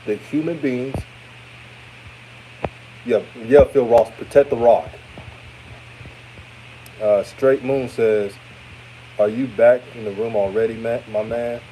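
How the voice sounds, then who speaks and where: A man in his thirties, from Houston, with a deep voice that sounds older, giving casual hot takes and reading chat comments on a live stream. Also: takes it slowly, pauses often, slight background noise, low-quality recording. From Houston, Texas. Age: 30s. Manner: relaxed, chatty, repeats himself, trails off. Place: his room after a game.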